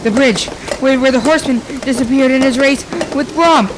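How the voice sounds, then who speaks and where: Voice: high-pitched, moderate pace, noisy background, lightly acted. A young student in a school radio play, acting a frightened, pious schoolmaster fleeing on horseback with anxious exclamations. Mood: panicked. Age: child or young teen.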